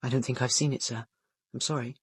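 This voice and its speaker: An actor playing a polite, earnest teenage schoolboy in a radio play, speaking hesitantly and deferentially. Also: male voice, mid-range voice, steady tone, quick pace, breathy edge, no room sound, clean studio sound. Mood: hesitant, uneasy.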